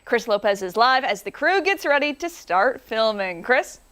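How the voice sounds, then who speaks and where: A woman TV news anchor reading a story intro in a smooth, even delivery and handing off to a reporter. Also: on the high side, normal speed. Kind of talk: scripted news read.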